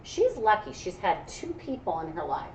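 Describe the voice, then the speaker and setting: A woman's voice: fairly low pitch, very animated, moderate pace, a little mellow. An American woman teacher reading a picture book aloud, adding encouraging, reflective asides for young listeners. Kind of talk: read-aloud with casual discussion. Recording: roomy, distant mic, faint background noise.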